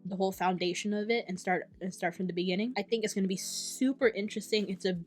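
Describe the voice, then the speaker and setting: A young woman talking to camera about her summer reading list in a chatty, enthusiastic way, with quick asides and self-corrections. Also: mid-range voice, steady tone, a little mellow, faint music behind. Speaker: book vlogger. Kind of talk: casual chat to camera.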